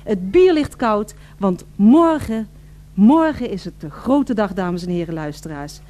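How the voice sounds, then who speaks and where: A woman's voice: medium pitch, lively intonation, a little slow, mellow, lightly acted. An actress playing an eager, polite female radio reporter in a radio drama, with formal, florid and emphatic delivery. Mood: grand, enthusiastic.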